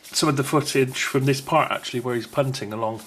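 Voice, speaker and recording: A man's voice: medium pitch, fairly quick, fairly bright tone. Man chatting through an unboxing, noisy recording. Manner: chatty and rambling, thinking aloud. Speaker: unboxing video presenter. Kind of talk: casual unscripted unboxing commentary.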